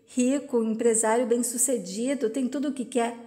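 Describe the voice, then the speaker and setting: A woman's voice: fairly high pitch, steady tone, normal speed, dark tone, close to an everyday voice. A woman reading as a proud young heiress. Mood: earnest.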